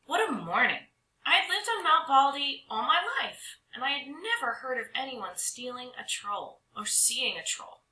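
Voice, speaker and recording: A woman narrates a children's story with lots of drama. Her voice is very bright and swoops expressively in pitch, and the room adds a clear echo. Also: very animated, normal speed. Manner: dramatic, with stretched words and hushed drops. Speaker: storybook reader. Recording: roomy echo.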